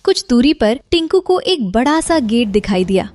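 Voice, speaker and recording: A woman's voice: a little high, big pitch swings, moderate pace, darkish tone. A woman reading scripted narration for a cartoon moral story as its narrator.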